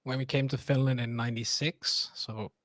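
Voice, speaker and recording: A man in his thirties, from Turkey and living in Finland, a journalist guest chatting offhandedly with quick restarts. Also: medium pitch, fairly even intonation, a bit bright, no echo, studio quality. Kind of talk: casual interview chat.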